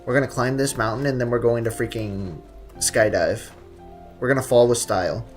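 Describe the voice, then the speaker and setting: A man's voice: medium pitch, moderate pace, soft background music. A man live streaming a video game, chatting casually with viewers in a slangy, joking, rambling way.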